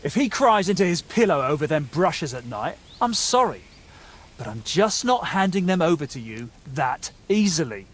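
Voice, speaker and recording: A man's voice: on the high side, very animated, moderate pace. A man playing a jittery, defensive young rogue archer in a medieval video game, talking in colloquial bluster. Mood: stubborn, cagey. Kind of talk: video game dialogue acting.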